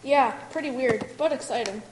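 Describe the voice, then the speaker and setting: A woman's voice: sits high, normal speed, natural voice. A woman playing an eager villager sharing news in a conversational amateur church drama, recorded in an echoing hall with some noise. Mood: intrigued, suspicious. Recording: echoey hall with background noise.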